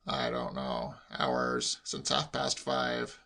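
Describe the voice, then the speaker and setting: Guy doing a grumpy exasperated old uncle, english gent, very gravelly and strained, comic audiobook. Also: mid-range voice, sing-song, slow pace, sharp tone, heavily acted. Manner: testy, strained, emphatic. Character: irritable, exasperated older English gentleman uncle.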